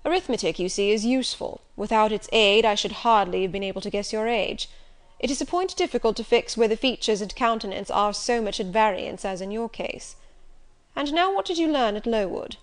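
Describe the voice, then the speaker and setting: A woman doing a brusque, commanding gentleman. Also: medium pitch, animated, a little fast, natural voice. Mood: imperious. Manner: commanding, sardonic, louder. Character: imperious older gentleman, brusque and probing.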